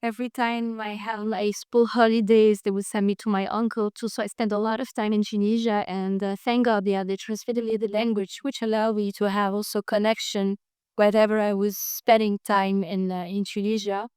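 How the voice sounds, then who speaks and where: A woman's voice: mid-range voice, flat delivery, normal speed, a little mellow, clear, resonant tone, dry recording, clean studio sound. A woman from France, a guest in an interview, explaining at length in a fluent, casual way with some fillers. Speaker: guest, tour guide.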